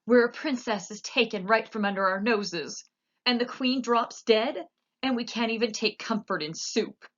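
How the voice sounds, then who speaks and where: A woman's voice: normal speed, dull audio. A woman doing a wailing, distraught castle cook. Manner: wailing, high-strung and loud. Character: weeping stout middle-aged woman castle cook.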